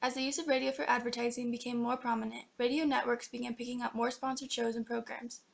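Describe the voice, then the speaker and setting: A young woman narrates a scripted documentary, reading from the page. She goes quickly in a slightly high voice with heavy fry at the edges. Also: level tone. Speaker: narrator of student history project.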